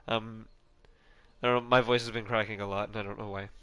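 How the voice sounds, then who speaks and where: A man's voice: on the low side, flat delivery, normal speed, a bit bright, clean tone, no echo. A man giving casual, rambling commentary on a video game, often restarting his sentences and swearing offhand. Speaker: gaming YouTuber.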